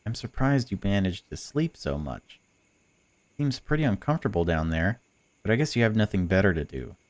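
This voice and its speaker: Female captor read by a man, low-pitched, mocking, falsely caring, chatty, visual novel dialogue. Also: very animated, normal speed.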